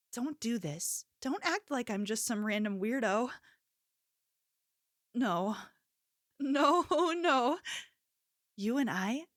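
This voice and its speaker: A woman performs an obsessive yandere cashier in an ASMR roleplay. Her voice sits fairly high and moves slowly, pleading and unsettlingly sweet as she clings possessively to her listener. The sound is dry and intimate. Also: fairly bright tone, dry recording. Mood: hurt, unhinged. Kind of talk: ASMR roleplay, scripted character acting.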